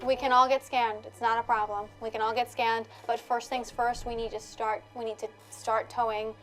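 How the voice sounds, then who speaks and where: A woman's voice: on the high side, little pitch movement, moderate pace, a bit bright, soft background music. A woman playing a tabletop game, chatting casually in character, insistent and repeating her phrases.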